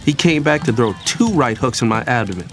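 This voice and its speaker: A man's voice: mid-range voice, expressive, a little fast, lightly acted. A young man acting as a playful, idealistic younger brother, narrating his own story in a scripted audio drama. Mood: reflective, storytelling. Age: young adult. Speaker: narrator and character. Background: music.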